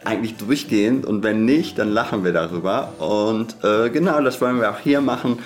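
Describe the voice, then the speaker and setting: Podcast co-host, androgynous mid-range voice, casual and deadpan-playful, light music underneath. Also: mid-range voice, brisk. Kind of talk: casual chat.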